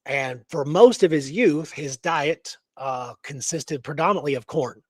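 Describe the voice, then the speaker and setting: A man with a slightly higher-than-average voice talks as a radio show host. He tells a historical case informally, with slangy wording and repeated emphasis. The sound is dry, with little room echo. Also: measured pace. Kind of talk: casual talk retelling a historical case.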